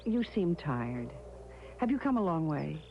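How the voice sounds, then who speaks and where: An actress playing an anxious, inquisitive, devoted wife in an old radio drama, expressive and earnest. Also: female voice, low voice, a little slow, a little hiss or hum, heavily acted. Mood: reflective, uneasy. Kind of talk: old-time radio drama acting with first-person narration. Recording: dull old radio with music.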